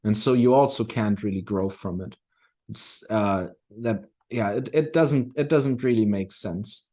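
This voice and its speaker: A man in a casual discussion, speaking reflectively and haltingly, often restarting his phrases as he works through a thought. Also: fairly low pitch, dark tone.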